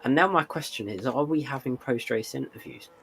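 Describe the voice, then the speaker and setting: A man's voice: mid-range voice, a little fast, vocal fry, a bit bright. Tired man calling a sim race. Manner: dry, wry asides between race calls. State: sore throat, tired. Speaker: sim racing commentator. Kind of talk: live esports race commentary.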